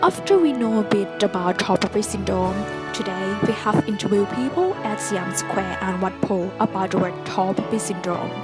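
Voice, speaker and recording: Woman narrator, plain scripted read, mid voice, loud music under. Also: mid-range voice, normal speed, few pauses. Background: loud background music.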